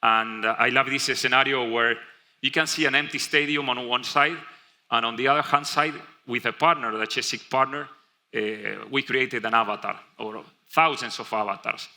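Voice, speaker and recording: Argentinian guy presenting on stage, really bright tone, lots of uhs and restarts. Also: mid-range voice, steady tone, normal speed. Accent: Argentinian Spanish. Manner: many fillers and self-restarts. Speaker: conference presenter. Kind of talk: keynote presentation. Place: conference stage.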